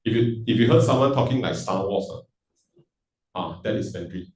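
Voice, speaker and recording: A man's voice: fairly low pitch, animated, fairly quick, darkish tone, reverberant, recorded from a distance. A man hosting a question-and-answer session at a live event, chatty and joking, switching between languages. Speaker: event host. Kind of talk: casual Q&A hosting with jokes. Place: event hall.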